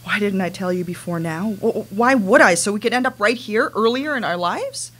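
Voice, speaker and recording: A woman playing a resentful adult daughter with a halting, earnest delivery in a staged dramatic reading. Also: medium pitch, expressive, fairly bright tone, husky. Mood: bitter. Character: wounded, resentful adult daughter confronting her mother. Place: small dimly lit stage venue. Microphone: microphone on a stand.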